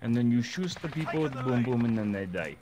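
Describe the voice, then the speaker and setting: A man's voice: medium pitch, animated, fairly quick, a crowd in the background, close to an everyday voice. A man putting on a fake Russian accent as a boastful gamer who talks about himself in the third person, in broken English, over in-game voice chat. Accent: put-on Russian. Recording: game noise and gunfire behind.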